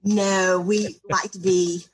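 A woman's voice: mid-range voice, level tone, takes it slowly, a little hiss or hum. A woman, a spirit program coordinator, answering interview questions in a chatty, upbeat way with many fillers.

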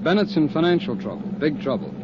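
A man's voice: fairly high pitch, sing-song, quick pace. An actor playing a worried, talkative insurance investigator in a 1950s radio drama, rambling a little as he lays out the case. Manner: chatty, rambling, uneasy. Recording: dull lo-fi old radio recording, hiss.

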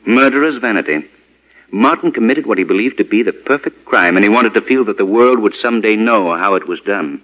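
An actor playing a commanding, deliberate male vigilante crime fighter in 1940s radio drama style. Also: on the low side, lively intonation, muffled recording. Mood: grave, explanatory. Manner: authoritative, urgent, deliberate.

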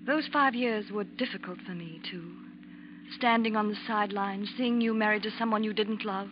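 A woman's voice: medium pitch, normal speed, lightly acted. An actress playing an intense, secretly yearning, scheming woman in old-time radio melodrama, earnest and emotionally charged. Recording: muffled, noisy old radio recording.

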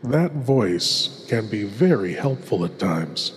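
A man playing a courtly, ghoulish horror host, with hushed, theatrical delivery and dramatic pauses. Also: lowish voice, big pitch swings, a little hiss or hum. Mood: eerie, courtly. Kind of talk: theatrical scripted horror hosting. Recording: long reverberant echo.

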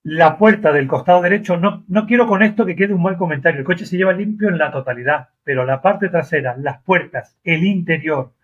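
Husky man, dull audio. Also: high-pitched, fairly quick, few pauses. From Argentina. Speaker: Uber driver being interviewed. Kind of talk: conversational interview.

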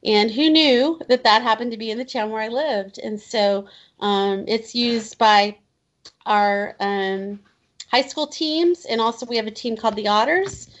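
Guest spot: Indiana woman in her fifties, talkative, fillers and laughs, bit higher pitch, slightly dull audio. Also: a little slow. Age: 50s. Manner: chatty, many um fillers and restarts, laughs often. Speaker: children's book author, guest. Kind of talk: casual interview chat.

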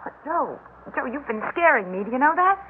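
An anxious young-family wife in a classic radio drama. She pleads earnestly and falteringly in a very high voice, heard through a tinny, slightly noisy broadcast. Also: big pitch swings, a little fast.

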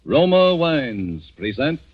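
A male announcer delivers a 1940s radio sponsor message with lively, swinging intonation. The recording is dull and muffled, like an old broadcast. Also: mid-range voice, slow, breathy edge. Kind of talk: 1940s radio advertising read.

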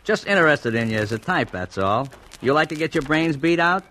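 An actor playing a cynical young drifter with a dry, taunting manner in a 1950s radio crime drama. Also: male voice, a little high, animated, a little fast, sharp tone. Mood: needling. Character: cynical, needling young male drifter and small-time manager. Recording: noisy old radio recording with clicks.

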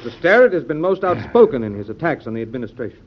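A man's voice: medium pitch, big pitch swings, racing, a little hiss or hum, natural voice. A man acting a dutiful, earnest statesman and adviser, formal and oratorical, in an old-time radio drama. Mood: concerned, objecting. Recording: dull lo-fi old radio recording.

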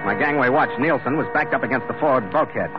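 An actor playing a tough, dry, plain-spoken sea captain who also narrates, in old-time radio drama style. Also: male voice, mid-range voice, quick pace, over music, natural voice. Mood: matter-of-fact narration. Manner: wry, terse, plain-spoken. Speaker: lead and narrator. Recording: slightly dull old radio recording.

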